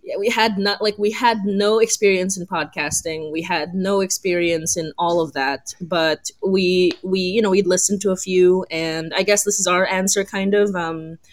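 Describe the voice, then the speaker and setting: A woman's voice: on the low side, fairly even intonation, moderate pace, hardly any pauses, no echo. Dry-sounding woman, rambling casual chat. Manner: rambling, frequent "like" and laughter. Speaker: podcast creator and co-host. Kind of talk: casual chat on a live podcast.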